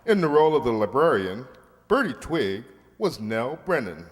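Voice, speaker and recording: A man's voice: mid-range voice, very animated, moderate pace. A man announcing scripted closing credits in the style of an old-time radio show. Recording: reverberant hall, clear noise.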